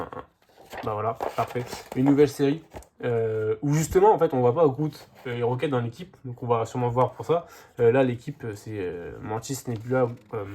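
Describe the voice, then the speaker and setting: A man's voice: medium pitch, a little mellow, a little hiss or hum. A man with a head cold and a slightly sore throat, talking through a casual comics haul video with lots of hesitant fillers. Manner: hesitant, many "euh" fillers and restarts. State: congested, slightly hoarse from a cold. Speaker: comics vlogger.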